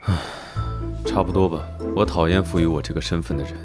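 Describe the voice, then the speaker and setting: A man performing a haughty young duke with an extremely deep voice. He speaks with smug, languid mockery and a possessive edge, in animated drama style, with music clearly audible behind him. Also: a little fast, lightly acted. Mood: bitter, detached.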